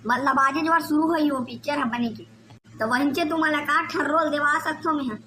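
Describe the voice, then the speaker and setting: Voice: sits high, moderate pace, sharp tone, a little hiss or hum. A performer playing a bossy, scoffing cartoon village fellow casting a film, with a Chhattisgarhi accent and slangy teasing talk. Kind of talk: comedy cartoon dialogue in colloquial village speech.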